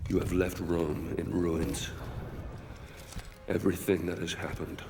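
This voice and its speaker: An actor playing a vengeful Roman general with a grave, accusing manner. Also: male voice, deep voice, flat delivery, unhurried, gravelly, reverberant, in a large hall.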